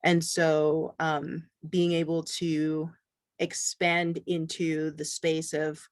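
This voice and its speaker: A man from Barbados, speaking as an artist on a panel, relaxed and conversational with many fillers. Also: on the high side, takes it slowly, no echo, studio quality. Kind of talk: panel discussion.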